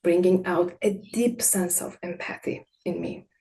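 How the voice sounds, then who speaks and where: A woman whose first language is Farsi, presenting a clinical case study as an art therapist in a measured, gentle way. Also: lowish voice, steady tone, poor audio. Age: adult with a child. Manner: measured, gentle, partly read from notes.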